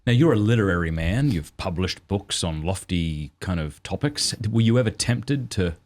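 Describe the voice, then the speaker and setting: A man's voice: low voice, lively intonation, normal speed, a little mellow. A man with an Australian accent hosting a podcast, talking candidly and self-deprecatingly in casual conversation. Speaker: podcast host.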